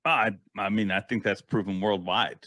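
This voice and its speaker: A man's voice: on the low side, steady tone, moderate pace, fairly bright tone, no echo. A man in his forties interviewing casually on a livestream, speaking into a close desk microphone. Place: home office. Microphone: close desk microphone, headset.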